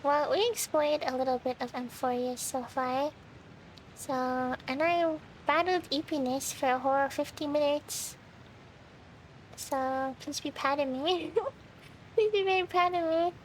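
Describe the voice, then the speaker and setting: High-pitched woman chatting on a game stream. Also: measured pace, a little hiss or hum, rough recording. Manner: playful, chatty, musing aloud. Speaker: game livestreamer. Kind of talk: casual livestream chat over a video game.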